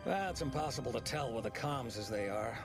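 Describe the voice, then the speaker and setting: A man's voice: medium pitch, animated, brisk, over loud music, close to an everyday voice. Man acting a weary older army general. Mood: stern, harried. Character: gruff older military general, weary, authoritative commander. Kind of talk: video game cutscene acting.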